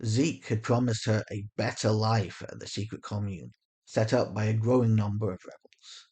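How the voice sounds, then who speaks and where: Husky man narrating dramatic fiction. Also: on the low side, fairly even intonation, normal speed, a little mellow. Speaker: story narrator.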